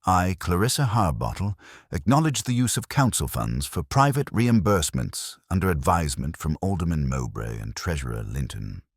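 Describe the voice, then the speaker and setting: A man's voice: low voice, sing-song, moderate pace, husky, no room sound, clean studio sound, full character voice. A man voicing a formal, regretful but unrepentant woman's written confession in a mystery story, read as a letter. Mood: solemn, formal.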